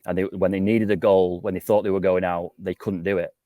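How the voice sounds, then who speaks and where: Male sports pundit, low voice, rapid delivery, conversational analysis with repeated words. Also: level tone, warm tone, no echo, studio quality. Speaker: football pundit.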